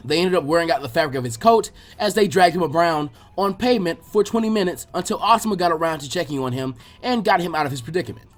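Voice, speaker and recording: A man reading fan fiction aloud as an expressive story narrator. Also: a little high.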